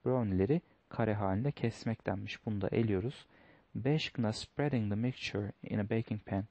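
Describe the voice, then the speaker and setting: A man's voice: lowish voice, moderate pace, mellow, no room sound. A man teaching a lesson, going through test questions step by step, reading each line in English and then translating it. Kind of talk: explaining test answers.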